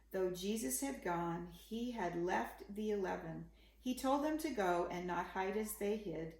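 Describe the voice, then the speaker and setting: Female storyteller for young kids, expressive rhyming read-aloud, unhurried, creaky edge, roomy sound. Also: mid-range voice. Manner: simple, dramatic storytelling aimed at a young child. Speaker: children's story reader.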